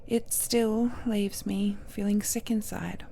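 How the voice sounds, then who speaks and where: A woman playing an ancient godlike villainess in an intimate audio drama, seductive, possessive and falsely tender, with coy endearments and a breathy voice that often drops to a whisper. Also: medium pitch, level tone, warm tone, slight background noise. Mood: falsely regretful. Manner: slow, coy, drawn-out endearments with teasing murmurs.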